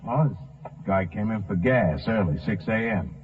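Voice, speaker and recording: Husky, earnest small-town gas station man. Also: on the low side, animated, normal speed. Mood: earnest, explaining. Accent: American. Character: plain-spoken, earnest gas station man under suspicion. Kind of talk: 1950s radio drama acting. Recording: muffled narrow-band 1950s radio recording.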